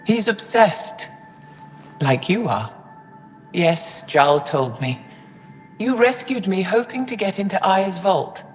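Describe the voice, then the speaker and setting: A woman's voice: mid-range voice, lively intonation, slow pace, long pauses, long reverb tail, faint music behind. An actress playing a proud, stern older female alien scientist in a video game, speaking formally and pointedly. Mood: haunted, accusing. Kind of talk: dramatic video game acting.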